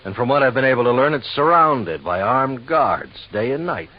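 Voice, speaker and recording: A man's voice: mid-range voice, expressive, normal speed, lightly acted. A man playing a businesslike, matter-of-fact insurance boss in a 1950s radio drama. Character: businesslike male insurance boss giving an assignment. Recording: dull old radio recording.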